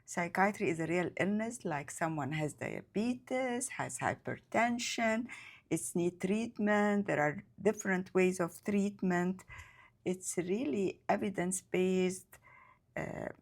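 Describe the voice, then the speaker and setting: A woman speaks in a low voice. She answers as a psychiatrist guest. Her English is hesitant, full of fillers and slips in grammar. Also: slow pace. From Egypt. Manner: hesitant, many fillers, non-native grammar. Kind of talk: conversational interview answers.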